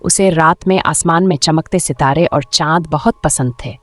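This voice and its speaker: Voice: mid-range voice, moderate pace, fairly bright tone, no echo. A narrator reading a scripted children's story in a storytelling style. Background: music.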